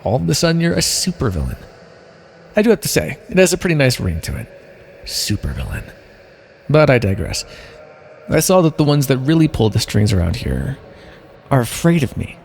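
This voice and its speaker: A man's voice: medium pitch, big pitch swings, normal speed, creaky, pauses often, a little mellow, slightly rough, full character voice. A man playing an imprisoned minor supervillain with mind powers in an ASMR roleplay, talking straight to the listener in a theatrical, rambling way. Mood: wry, scheming. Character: imprisoned minor supervillain with mind control, chatty, scheming, smug.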